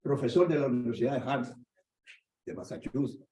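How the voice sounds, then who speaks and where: An older man, an orthopedic doctor giving a conference lecture in a lively storytelling way. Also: medium pitch, brisk. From Dominican Republic. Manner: conversational, animated storytelling with asides. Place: conference hall. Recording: echoey hall, dull lo-fi sound.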